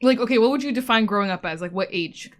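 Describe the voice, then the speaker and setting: A woman's voice: fairly high pitch, quick pace. A woman in her twenties from Canada, co-hosting a casual podcast and rambling as she thinks aloud, often laughing. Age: 20s. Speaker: podcast co-host.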